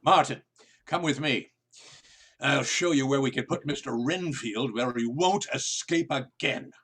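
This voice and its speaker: An actor playing an earnest, worried asylum doctor with a husky voice in theatrical radio play style. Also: male voice, medium pitch, unhurried, fairly bright tone. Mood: stern.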